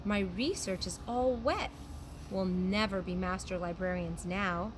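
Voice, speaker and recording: A woman's voice: medium pitch, unhurried, natural voice. A woman voicing a cautious, bookish young boy in a children's story, speaking earnestly. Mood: dejected. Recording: background noise, outdoor ambience.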